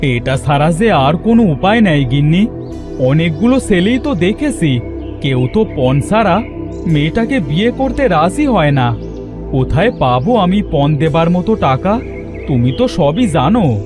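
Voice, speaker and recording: A man's voice: on the high side, sing-song, a little slow, music in the background. A man voicing a poor, worried middle-aged village father in a cartoon, pleading and plaintive. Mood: worried, despairing. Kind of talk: Bengali cartoon voice acting.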